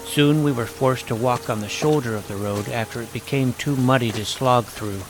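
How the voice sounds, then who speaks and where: A man's voice: medium pitch, moderate pace, lightly acted. A man playing a naive, self-doubting, geeky boy who narrates his fantasy adventure in a wry, youthful, earnest way. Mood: wry storytelling. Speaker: narrator and lead character. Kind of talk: first-person scripted fantasy narration and dialogue. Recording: music behind the voice.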